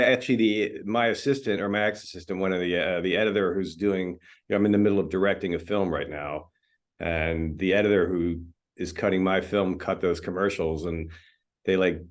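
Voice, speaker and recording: Chatty man with a low voice. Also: moderate pace. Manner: relaxed, chatty, many fillers and restarts. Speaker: film editor. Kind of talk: interview conversation.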